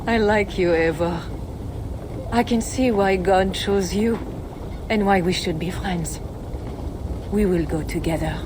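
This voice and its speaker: An actress playing a devout, composed queen in a video game, speaking in a measured, earnest and certain manner. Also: medium pitch, background noise, close to an everyday voice. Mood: warm, candid. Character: devout, composed queen, serene and quietly knowing. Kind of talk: dramatic video game cutscene acting.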